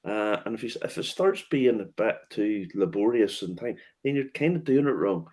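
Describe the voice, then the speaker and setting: A man hosting a casual chat about whiskey tastings, relaxed, laughing often and swearing casually as he restarts his sentences. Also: fairly low pitch, normal speed. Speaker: host and whiskey tour guide.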